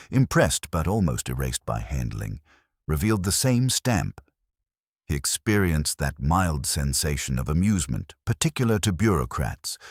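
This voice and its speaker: A man reading a literary detective story as narrator, with a measured, dry and formal delivery. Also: deep voice, no echo. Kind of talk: scripted literary audiobook narration.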